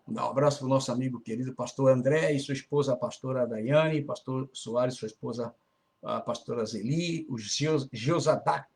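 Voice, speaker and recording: A man's voice: medium pitch, normal speed, gravelly, darkish tone. A man hosting a church radio broadcast, greeting listeners and making announcements in a casual, chatty way, often tagging phrases with a questioning "né?". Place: Brazilian church community in Florida.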